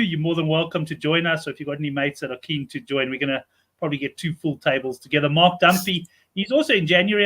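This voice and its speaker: A man in his fifties hosts a rugby betting chat show. His voice sits high and the words tumble out quickly. He keeps things friendly and chatty. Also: a bit bright. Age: 50s. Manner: chatty, friendly, fast stream of talk.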